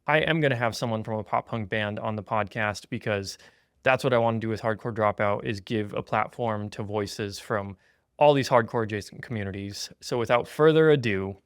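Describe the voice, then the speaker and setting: A man's voice: lowish voice, moderate pace, clear, resonant tone, dry recording, clean studio sound. A man in his thirties hosting a podcast over a remote call, easygoing and earnest, with frequent "um" and "yeah". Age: 30s. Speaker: podcast host. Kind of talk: casual podcast chat.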